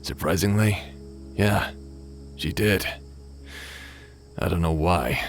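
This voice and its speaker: Man acting a deep-voiced, weary, guilt-ridden space pirate. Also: slow pace, soft background music. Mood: wry, reflective. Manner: hesitant, wry, subdued. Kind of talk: audio drama acting.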